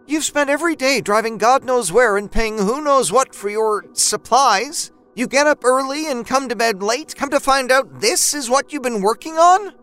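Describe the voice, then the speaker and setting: A man's voice: very high voice, animated, takes it slowly, voice pushed up, full character voice. A man voicing an exasperated adult wife who scolds her husband bluntly. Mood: scolding exasperated. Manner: sharp, scolding.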